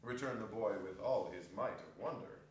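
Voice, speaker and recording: A man narrating a dramatic reading on stage, theatrical and expressive, relishing lists and repeated phrases. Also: lowish voice, normal speed. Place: indoor performance space. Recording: echoey hall, distant microphone.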